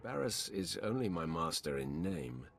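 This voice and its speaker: A man's voice: deep voice, very animated, moderate pace, gravelly, soft background music, heavily acted. A man voicing a proud, ruthless Sith apprentice in a video game, curt and haughty. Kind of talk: scripted video game acting.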